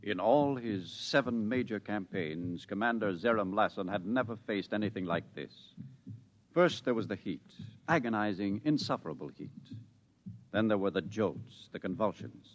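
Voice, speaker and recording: A man narrating a 1950s radio drama with grave, deliberate phrasing. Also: lowish voice, animated.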